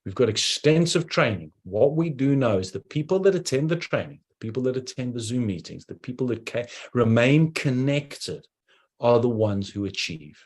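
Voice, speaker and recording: A man with a South African accent giving a persuasive online presentation, talking in quick bursts with sudden slowdowns. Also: medium pitch, expressive, a little mellow, breathy edge.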